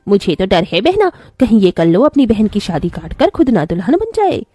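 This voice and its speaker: A woman's voice: mid-range voice, sing-song, normal speed, mellow, no echo. A woman voicing a gossiping, superstitious neighbour who is snide and judgmental in a cartoon story.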